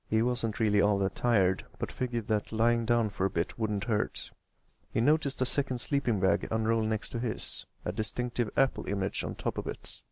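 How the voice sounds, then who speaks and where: A man's voice: fairly low pitch, creaky, no echo. A man reading a story aloud as an amateur narrator of fan fiction.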